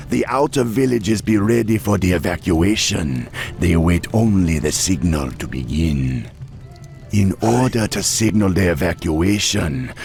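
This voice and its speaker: Troll chieftain, low creaky voice, Jamaican-style Caribbean accent, proud, easygoing, fantasy game. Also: male voice, full character voice. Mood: grateful, cautioning. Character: wily male troll tribe leader, easygoing and proud. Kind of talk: fantasy video game voice acting.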